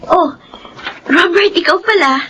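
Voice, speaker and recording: Young filipina student in a radio drama, high voice, prickly and lovestruck. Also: female voice, slow pace, lo-fi sound, noisy background. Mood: irritated.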